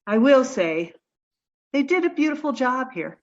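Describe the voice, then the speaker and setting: A woman acting in an audio play as a wistful, fragile woman in mourning, speaking haltingly and from the heart. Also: high-pitched, big pitch swings, dull audio. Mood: wistful grief.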